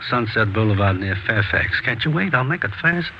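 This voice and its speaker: On a dull, noisy old radio recording, a shifty street peddler. His voice is fairly low. He talks informally, always hustling and hedging like a small-time seller in a crime drama. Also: animated, normal speed. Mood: hedging, persuasive. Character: shifty, pushy male street peddler of cheap goods. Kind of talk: 1950s radio drama acting.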